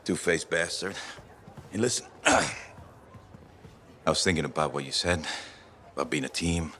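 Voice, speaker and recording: An actor playing a proud, gruff male fighter in video game dialogue who swears bluntly and talks abruptly. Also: very deep voice, unhurried, bright, forceful tone, a little hiss or hum, heavily acted.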